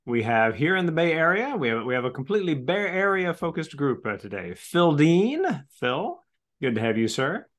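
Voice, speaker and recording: A man's voice: on the high side, sing-song, moderate pace, fairly bright tone. A man in his fifties hosting an online club meeting, friendly and upbeat, often repeating words. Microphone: video call.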